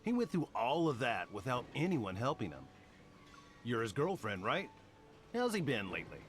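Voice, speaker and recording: A man's voice: mid-range voice, very animated, normal speed, soft background music, natural voice. An actor playing a chatty middle-aged male car mechanic in a romance game, folksy, casual and sympathetic. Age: 40s. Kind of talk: voiced dialogue in a romance game.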